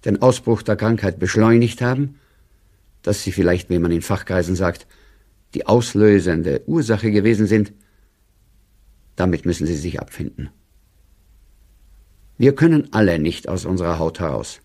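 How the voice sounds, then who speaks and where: Radio play: courteous but condescending chairman, lowish mellow voice, formal letter delivery. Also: animated. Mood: formal sympathy. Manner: stiff, courteous, measured. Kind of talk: 1930s letter read in radio drama.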